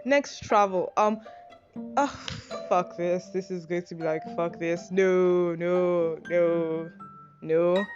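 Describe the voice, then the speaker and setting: A woman's voice: lowish voice, takes it slowly, a little mellow. A young Nigerian woman with a Nigerian accent, rambling through an advice list in a self-deprecating, casual monologue full of fillers and swearing. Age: young adult. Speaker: podcast host. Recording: dull lo-fi sound with music behind.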